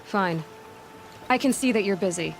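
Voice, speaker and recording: A woman's voice: medium pitch, animated, moderate pace. A woman acting a practical, direct young huntress who asks questions in a video game. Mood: impatient. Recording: clear music under the voice.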